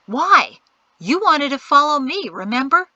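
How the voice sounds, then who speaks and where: A woman's voice: on the high side, expressive, measured pace, pushed and bright, no room sound, highs cut off, voice pushed up. A woman voicing a dismissive, impatient young man who talks in a brusque, scolding way.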